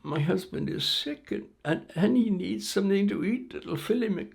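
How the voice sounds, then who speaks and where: Man acting old peasant woman, pleading, stammering, high-pitched, husky, folk-tale character. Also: expressive, moderate pace, heavily acted. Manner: stammering, begging.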